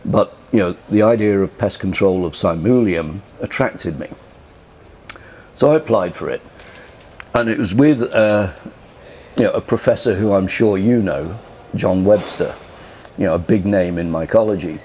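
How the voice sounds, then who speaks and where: An older man from England, recalling his career in a relaxed, rambling way. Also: sits low, moderate pace, a little hiss or hum. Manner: relaxed, rambling, frequent "you know" and restarts. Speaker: interviewee. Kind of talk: casual recollection.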